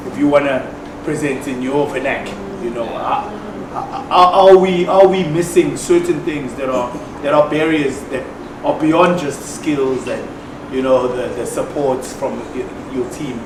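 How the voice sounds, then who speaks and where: A soft-spoken man who thinks in isiZulu works his way through a long question, restarting phrase after phrase. He sounds far from the mic in a roomy, echoing hall, with a loud noise floor around him. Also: mid-range voice, unhurried. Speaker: audience member asking a question. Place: seminar hall at a festival.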